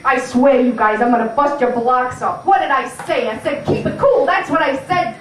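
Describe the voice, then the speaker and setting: A woman's voice: high voice, moderate pace, close to an everyday voice. A woman playing a bossy, menacing female gangster boss in an amateur puppet show, with brash slangy talk. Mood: furious. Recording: roomy, distant mic, faint noise.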